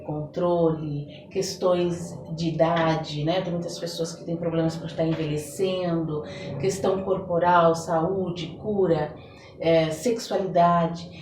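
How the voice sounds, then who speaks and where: Brazilian woman explaining, in an echoey room. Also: lowish voice. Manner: explanatory, illustrates with everyday examples and imagined quotes. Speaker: interview guest, holistic therapist. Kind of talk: conversational explanation in a podcast interview. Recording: roomy echo, distant mic, background noise.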